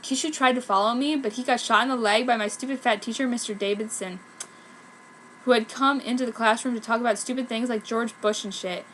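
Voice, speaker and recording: High, bright-voiced woman reading fan fiction. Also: steady tone, fairly quick, slight background noise, rough recording. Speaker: amateur fanfiction reader.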